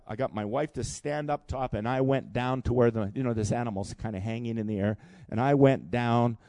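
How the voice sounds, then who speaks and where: A man in his sixties preaching a sermon in church, animated and calling for responses from the congregation. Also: medium pitch, no echo. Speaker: pastor. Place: church hall.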